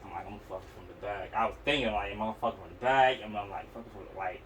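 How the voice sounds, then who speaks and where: A man's voice: fairly low pitch, rapid-fire, a bit bright. A young man with an Oakland accent, telling personal stories on a podcast in a slangy, profane, rambling way. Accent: Oakland, California. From Oakland, California. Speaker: podcast host. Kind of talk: casual, candid personal storytelling. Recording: distant mic, noisy room sound.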